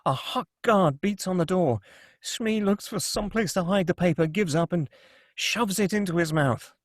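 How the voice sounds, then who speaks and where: A man narrates a script at a table read, reading stage directions. His voice sits a little high for a man, with a husky, unclear edge. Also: normal speed, dry recording, studio quality.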